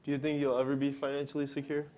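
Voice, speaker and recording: A man's voice: medium pitch, monotone, racing, a little mellow, lightly acted. A young man performing a scripted readers theater monologue as a student reader. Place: college library event. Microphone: worn microphone.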